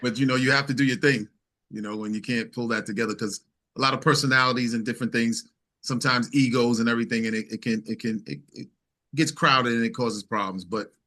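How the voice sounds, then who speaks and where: A man's voice: medium pitch, fairly even intonation, fast talker, sharp tone. A man speaking as a musician guest in casual conversation, explaining things and checking for agreement.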